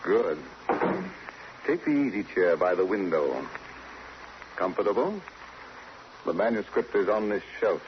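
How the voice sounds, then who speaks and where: A courteous, faintly eerie librarian introducing old radio mysteries. His lowish voice moves dramatically up and down with deliberate phrasing. The recording is muffled. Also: sing-song, a little hiss or hum, full character voice. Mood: cordial, ominous. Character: cordial, eerie male librarian of mystery club. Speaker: host and announcer. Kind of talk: 1940s radio mystery hosting, scripted.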